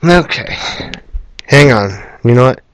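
A man's voice: mid-range voice, animated, measured pace, slight background noise, poor audio. A man giving casual, rambling gameplay commentary with crude language, recorded indoors in a small trailer on a camera aimed at the screen. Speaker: gamer, video host.

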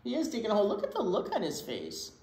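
A woman in her sixties reading a picture book aloud to children, with dramatic pauses and emphasis. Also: medium pitch, huge pitch swings, darkish tone. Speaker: children's storytime reader. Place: indoor children's library room. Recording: roomy space with faint background noise.

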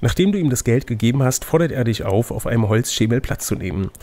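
A man's voice: mid-range voice, animated, fast talker, darkish tone. Man reading a gamebook, playfully. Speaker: game master and reader. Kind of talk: reading a fantasy gamebook aloud with casual banter.

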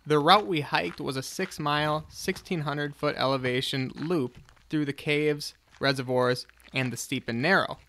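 A man's voice: a little high, moderate pace, bright, forceful tone. A man in his twenties, a travel vlogger narrating and chatting casually about a hike. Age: 20s. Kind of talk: hiking vlog narration and casual chat.

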